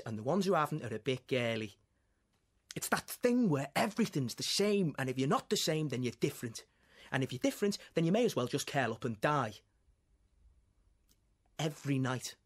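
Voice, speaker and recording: A man's voice: mid-range voice, natural voice. Young guy doing a bitter teenage lad, fed up, sarcastic, bit of a mutter. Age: young adult. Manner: brooding, frustrated, bursts of quick sarcasm. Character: troubled teenage boy, lonely and bitter. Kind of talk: acting class monologue.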